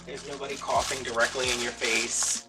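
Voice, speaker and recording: A man's voice: medium pitch, little pitch movement, moderate pace, far from the mic, poor audio. An American man in his late thirties chatting casually and rambling through playfully dramatic stories as he does a craft vlog. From New Orleans area, USA. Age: late 30s. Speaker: craft vlogger. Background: background music.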